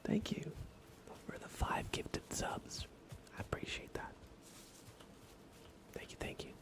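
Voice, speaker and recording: A man giving hushed, soft-spoken ASMR gaming commentary as a live streamer, often dropping into a whisper. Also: slow pace. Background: music.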